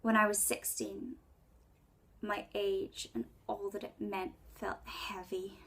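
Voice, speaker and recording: A woman in her twenties performing a spoken word poem in a breathy voice, with intimate, deliberate dramatic phrasing. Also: medium pitch. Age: 20s. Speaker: poet. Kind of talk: spoken word poetry recital.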